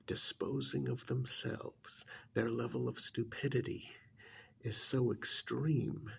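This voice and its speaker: A man playing an arrogant, contemptuous ancient alien in an ASMR roleplay, speaking in a gravelly, breathy half-whisper with deliberate, condescending pauses. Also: medium pitch, exaggerated voice. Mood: smug contempt. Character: arrogant ancient alien stranded in a human body, contemptuous and menacing.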